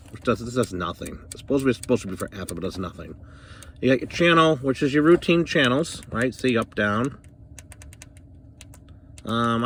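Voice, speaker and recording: A man's voice: medium pitch, a bit bright. A middle-aged American man giving a casual, off-the-cuff gear demonstration in a plain-spoken, rambling, opinionated way. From northern United States. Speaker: CB radio enthusiast reviewing gear. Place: inside a parked car.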